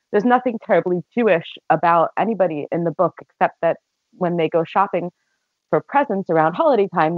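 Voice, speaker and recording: A woman's voice: lowish voice, no room sound. A woman with an American accent, a literary agent, speaking earnestly and explaining on a podcast panel. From United States. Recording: dry, phone-like line.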